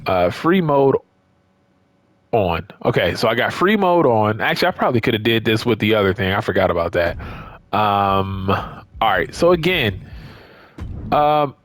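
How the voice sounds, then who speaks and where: A man's voice: on the low side, very animated, normal speed, long pauses, a bit bright, no room sound. Man giving casual, emphatic game commentary, dry sound. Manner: emphatic, repeats words for stress. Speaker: gaming video creator.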